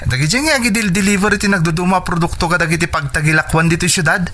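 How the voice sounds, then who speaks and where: A bright-voiced, determined young Ilocano son. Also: male voice, sits high, quick pace. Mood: happy, determined. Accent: Filipino (Ilocano). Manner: earnest, respectful. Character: earnest, determined young son quitting college to work. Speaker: radio drama actor. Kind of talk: radio drama acting.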